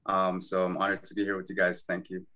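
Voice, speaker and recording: A man's voice: deep voice, monotone, fast talker, bright, forceful tone. A man from Los Angeles introducing himself casually and humbly on a virtual panel. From USA (Los Angeles).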